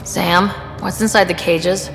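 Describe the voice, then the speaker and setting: A woman's voice: on the low side, fairly even intonation, measured pace, in a large hall, background noise. A woman playing a determined young explorer leading a sci-fi expedition in a video game. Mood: inquisitive, businesslike.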